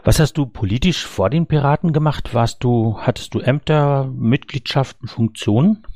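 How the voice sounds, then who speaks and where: Man hosting an interview podcast, mid-pitched. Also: normal speed, a little mellow. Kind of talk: scripted podcast introduction and interview questions.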